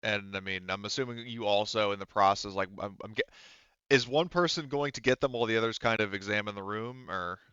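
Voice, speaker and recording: A man narrating a tabletop game as game master in a casual, halting, rambling, conversational way. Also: fairly low pitch, sharp tone, smooth, dry recording, lo-fi sound.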